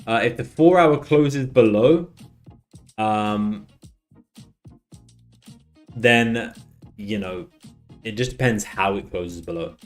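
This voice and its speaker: A man's voice: medium pitch, sing-song, slow pace, vocal fry, long pauses, soft background music. A man on a casual live stream, chatty, reading out chat messages and losing his voice. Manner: chatty, reads out chat messages, many restarts. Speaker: livestream trader.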